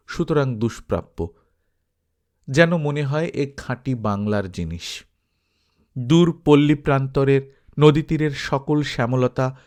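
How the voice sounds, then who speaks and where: A man narrating literary fiction as an audiobook reader, with expressive storytelling. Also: medium pitch.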